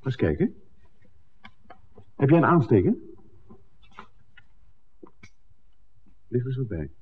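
A man's voice: medium pitch, very animated, normal speed, husky. An actor playing a worldly, principled man with a wry, bantering way, teasing his friend in a 1960s Dutch radio drama. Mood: urgent, probing. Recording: muffled, noisy old radio drama recording.

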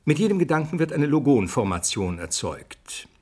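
An actor playing an earnest, didactic scientist being interviewed in a radio drama. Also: male voice, medium pitch, very animated, moderate pace, darkish tone, husky. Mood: lecturing. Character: earnest male scientist, explaining theory, brusquely dismissive of speculation.

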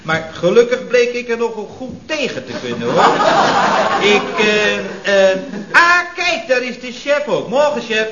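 An actor playing a befuddled, hungover employee, hesitant and evasive, in an old radio comedy. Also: male voice, high voice, sing-song, unhurried, a loud crowd. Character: muddled, hungover male employee, cheerfully evasive. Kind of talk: 1970s Dutch radio comedy acting. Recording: muffled, echoey old radio recording with audience.

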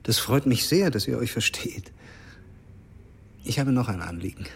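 An actor playing a charming, easygoing middle-aged father in a naturalistic radio drama, gravelly and courteous. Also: medium pitch, lively intonation, moderate pace, full character voice.

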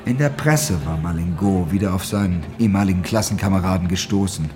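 Actor as commanding radio-play narrator over music. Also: male voice, deep voice, fairly quick, warm tone, close to an everyday voice. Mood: storytelling. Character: domineering, territorial male narrator of a seedy city. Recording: music behind the voice.

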